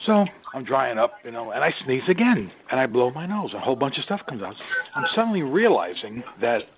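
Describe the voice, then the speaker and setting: A man's voice: medium pitch, sing-song, fairly quick. Rambling man on a noisy phone-like line. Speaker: customer giving testimonial. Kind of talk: unscripted casual testimonial.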